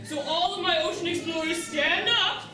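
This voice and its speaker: A woman playing a lively, bossy manta ray teacher, giving encouraging lessons to children in a puppet show. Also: extremely high pitch, normal speed, over music. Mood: instructive. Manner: exclamatory, encouraging, instructive. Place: large room at open house puppet show. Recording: very echoey hall, far mic, crowd.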